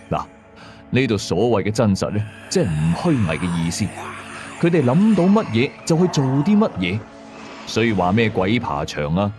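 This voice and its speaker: Male actor as seasoned exorcist narrator, Hong Kong Cantonese, low and animated, moralizing, conversational asides, scripted radio horror over music. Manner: explanatory, conversational asides to listeners. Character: male veteran ghost exorcist narrating his cases, matter-of-fact, moralizing. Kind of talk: scripted first-person horror storytelling.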